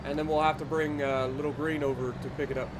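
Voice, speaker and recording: A man's voice: on the high side, little pitch movement, fast, background noise. A man with a stuffy, nasal voice giving easygoing commentary over his farming game, thinking aloud with fillers. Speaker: gaming YouTuber. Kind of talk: casual let's-play commentary.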